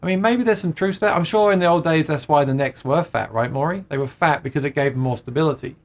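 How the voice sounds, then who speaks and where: A man's voice: fairly high pitch, fast. A man hosting a casual livestream, reading out viewer comments and chatting with plenty of laughs and restarts. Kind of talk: casual chat about guitars.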